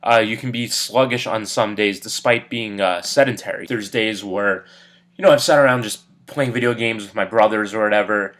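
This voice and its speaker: A man in his early twenties, a little congested from a cold, talking candidly in a relaxed personal vlog with lots of fillers. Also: fairly low pitch, normal speed. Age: 20s. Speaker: vlogger.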